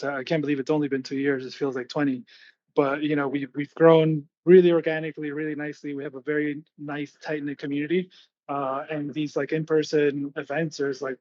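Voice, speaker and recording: A man with a slightly high voice speaks casually as an interview guest, explaining in an informal, filler-heavy way. His intonation stays quite flat. The recording is dry and somewhat dull, like lo-fi online audio. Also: no echo. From born and raised in Venezuela, grew up in United States. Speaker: guest, artist collective founder.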